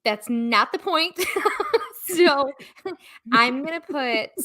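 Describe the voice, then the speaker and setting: A woman co-hosting a music review podcast, chatting casually with frequent giggles and a soft-hearted manner. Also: sits high, animated, drawn-out words. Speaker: podcast co-host. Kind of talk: casual chat.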